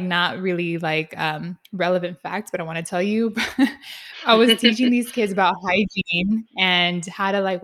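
A woman's voice: fairly low pitch, fairly bright tone. A woman host from San Diego in a casual interview, chatty and laughing through her own anecdotes. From San Diego, USA. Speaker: podcast host.

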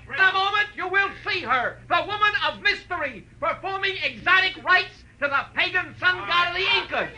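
An actor playing a flashy burlesque master of ceremonies, making grand, bombastic announcements in an old radio drama. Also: male voice, very high-pitched, noisy background. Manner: bombastic, suspense-building showman. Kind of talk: grand theatrical stage announcement.

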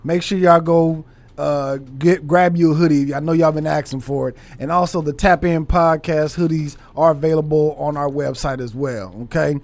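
A man's voice: a little high, fairly even intonation, moderate pace, darkish tone. A man from Los Angeles hosting a podcast, chatting in a laid-back, slangy way and talking straight to his viewers. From Los Angeles, USA. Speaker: podcast host. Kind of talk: casual interview chat.

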